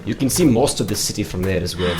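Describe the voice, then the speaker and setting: A man's voice: fairly low pitch, animated, quick pace. An actor playing a deferential male attendant in an audio drama. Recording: roomy, loud noise with bird sounds.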